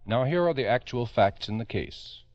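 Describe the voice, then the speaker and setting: A man with an American accent narrating a 1950s radio true-crime drama as host, in a grave, emphatic, deliberate way. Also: mid-range voice, animated, no echo. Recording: dull old radio recording.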